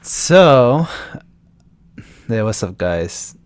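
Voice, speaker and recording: A man's voice: medium pitch, sing-song, drawn-out words, smooth. A young man from Texas, a voice actor chatting casually with viewers on a live stream and answering their questions. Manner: chats loosely, answering viewers' questions as they come.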